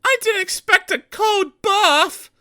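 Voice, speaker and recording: A man doing a squeaky little tank engine. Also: slow pace, pushed and bright, exaggerated voice. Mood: shaken. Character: cheerful small male tank engine.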